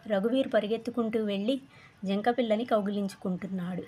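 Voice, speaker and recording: A woman's voice: mid-range voice, fast talker, warm tone. A woman narrating a scripted children's story.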